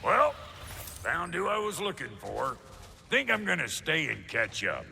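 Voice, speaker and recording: Grumpy dwarf blacksmith for a game, gravelly, kinda high, blunt folksy talk. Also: male voice, huge pitch swings, measured pace, bright, forceful tone, big-room sound, slight background noise, exaggerated voice. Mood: teasing. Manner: folksy backwoods slang, blunt. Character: crusty, bickering dwarf blacksmith, gruff and cocky. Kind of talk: cinematic video game acting.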